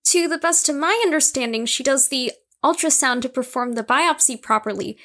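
A woman's voice: sits high, moderate pace, pushed and bright. A woman playing a sensible, squeamish, polite patient in an audio drama, earnest and matter-of-fact.

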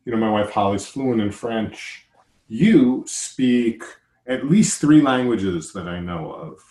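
A man's voice: fairly low pitch, lively intonation, slow pace, a little mellow. An American man hosting a podcast, talking in a chatty, enthusiastic way and reading his own ads. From United States. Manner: chatty, lots of "uh" fillers, enthusiastic. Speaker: podcast host. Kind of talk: casual interview and ad read.

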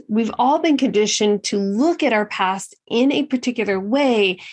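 A woman hosting a solo motivational podcast, talking earnestly and directly to her listeners, slightly nasal from a head cold. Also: mid-range voice. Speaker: podcast host.

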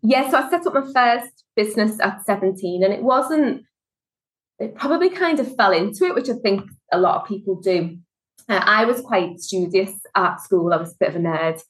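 A woman's voice: medium pitch, animated, normal speed, rough recording. A woman in her thirties with a strong Liverpool accent, answering interview questions. She talks in an easy, chatty way, winding through her story with plenty of fillers and restarts. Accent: Liverpool (Scouse). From Liverpool, England. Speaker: guest, HR consultancy director. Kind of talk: conversational interview.

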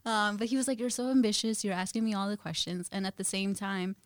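A woman's voice: medium pitch, fairly even intonation, fast talker, no echo, clean studio sound. A woman in her twenties from Guyana, a podcast guest talking in a bubbly, casual way and laughing often. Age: 20s. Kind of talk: casual interview chat.

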